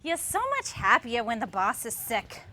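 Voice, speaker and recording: A woman acts out a loving but sassy 1950s housewife in a staged play reading. Her voice is high and bright, with very animated pitch. She teases her husband with dry, playful wit. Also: moderate pace, dry recording. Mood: supportive.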